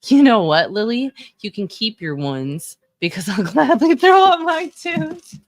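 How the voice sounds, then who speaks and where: A woman's voice: on the high side, sing-song, measured pace, no room sound, studio quality. A woman in her thirties with a Texan accent, giving chatty, opinionated live-stream commentary and often correcting herself. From Texas. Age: 30s. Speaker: streamer reviewing wrestling fashion.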